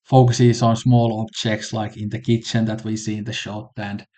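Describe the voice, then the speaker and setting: A man's voice: lowish voice, moderate pace. A man from Finland with a Finnish accent, casually reviewing films in a conversational way. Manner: relaxed, conversational, with fillers like "kinda" and "like". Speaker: film reviewer.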